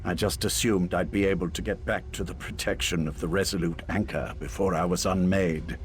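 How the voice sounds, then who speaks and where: An actor playing a calm, self-sacrificing mage in a video game, measured, formal and wry with quiet regret. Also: male voice, deep voice, slight background noise, lightly acted. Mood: resigned, regretful.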